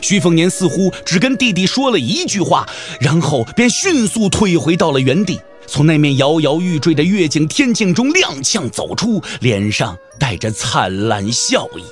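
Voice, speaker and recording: Lively, higher-pitched male dramatic narrator. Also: normal speed, hardly any pauses, soft background music. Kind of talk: dramatic scripted audiobook narration.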